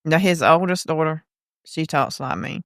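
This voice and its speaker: Smooth-voiced Southern woman, chatty. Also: sits low, moderate pace, a bit bright, no room sound, studio quality. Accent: Southern US, South Carolina. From South Carolina. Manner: chatty, laughs often, trails off searching for words. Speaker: guest, mother of a son in recovery. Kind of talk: casual podcast conversation.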